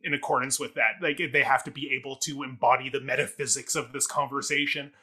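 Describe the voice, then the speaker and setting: A man's voice: medium pitch, flat delivery, quick pace, bright, forceful tone, breathy edge. A man co-hosting a theatre review podcast, talking casually in rambling, hedged, self-correcting sentences.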